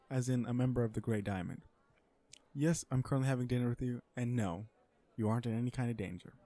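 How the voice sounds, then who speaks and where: A mellow, relaxed male voice of middling pitch acted by a man. He is a villain out on a blind date, blunt and earnest yet socially awkward. He hesitates, then explains himself in deadpan, legal-minded fashion, as in a romantic comedy audio roleplay. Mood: awkward, resigned.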